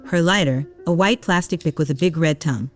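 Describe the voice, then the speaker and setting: A woman's voice: sits low, a little fast. Woman narrating a story over music.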